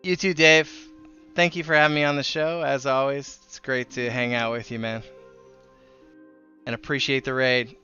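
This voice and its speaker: A man with a very bright, forward voice talks casually to viewers on a game livestream. He is friendly and chatty, speaking at a middling pitch. The sound is a little dull, with music playing behind him. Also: steady tone, normal speed, long pauses. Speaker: live streamer.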